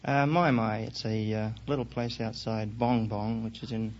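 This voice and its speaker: A man's voice: fairly low pitch, fairly even intonation, normal speed, a little mellow. A man in his twenties from Australia, answering questions as a quiz show contestant. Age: 20s. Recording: muffled 1950s radio recording, faint noise.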